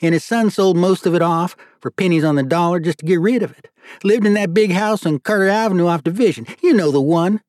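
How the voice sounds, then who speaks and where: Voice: mid-range voice, a little fast, a little mellow, no room sound, clean studio sound, voice pushed up, close to an everyday voice. A narrator voicing a folksy, bitter, cussing judge in an audiobook story. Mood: bitter, indignant.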